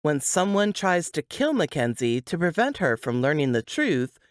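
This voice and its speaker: A woman's voice: deep voice, very animated, normal speed, dry recording, clean studio sound. A woman reading a book blurb as scripted narration.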